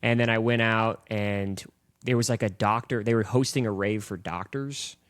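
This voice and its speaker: A man's voice: lowish voice, steady tone, moderate pace, fairly bright tone, clear, resonant tone, dry recording, clean studio sound. A man in his early thirties hosting a podcast, easygoing, asking lots of questions. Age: 30s. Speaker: podcast host. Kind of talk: casual podcast chat.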